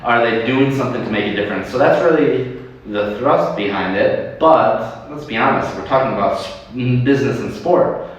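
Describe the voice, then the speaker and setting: Man, animated, restarts a lot, distant mic, very echoey. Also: medium pitch, normal speed, muffled recording. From United States. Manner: animated, laughing, many restarts. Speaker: sports club leader and guest. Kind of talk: livestream interview. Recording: echoey room from afar, faint noise.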